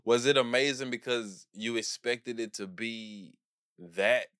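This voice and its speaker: A man co-hosting a podcast, relaxed and casual, often answering with soft murmured agreement. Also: medium pitch, unhurried, clear, resonant tone. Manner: relaxed, frequent soft mm-hmm backchannels. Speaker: podcast co-host.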